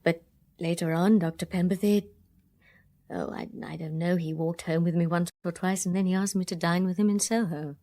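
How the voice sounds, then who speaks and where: An actress plays a young Englishwoman in a radio drama who has been hurt and keeps her guard up. Her voice is slightly low. She speaks bitterly and haltingly, as if each admission costs her. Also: fairly even intonation, warm tone, natural voice. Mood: guarded, confessing. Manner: hesitant, halting confessions. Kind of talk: full-cast radio drama acting.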